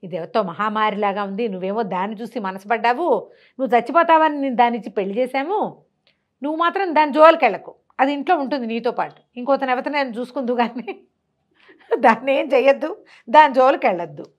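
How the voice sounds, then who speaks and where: A woman's voice: a little high, fast talker, lightly acted. A storytelling woman voicing a frightened, protective father who urgently pleads with and warns his grown son. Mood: anxious warning.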